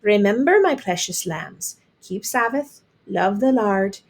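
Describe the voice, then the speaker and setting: A woman voicing a warm-hearted, hopeful Irish immigrant mother in a storybook, earnest and tender. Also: medium pitch, animated, slow pace.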